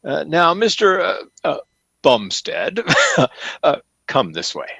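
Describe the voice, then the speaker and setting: An actor playing a fawning, pompous store salesman in an old-time radio comedy, with effusive, theatrical flattery. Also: a little high, takes it slowly, creaky, slightly rough, dry recording, heavily acted. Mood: eager, fussy.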